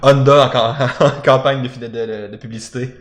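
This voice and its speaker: A man's voice: mid-range voice, fairly even intonation, normal speed. A young man with a Québécois French accent hosting a podcast, chatting loosely with slang and swearing. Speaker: podcast host. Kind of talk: casual interview chat. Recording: echoey room.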